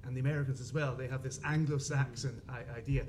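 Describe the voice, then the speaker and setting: A man's voice: mid-range voice, fairly even intonation, fast talker, darkish tone, slight background noise. An Irish man with a Dublin accent, talking discursively and hesitantly as an author on a panel. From Dublin, Ireland. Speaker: panellist, crime writer.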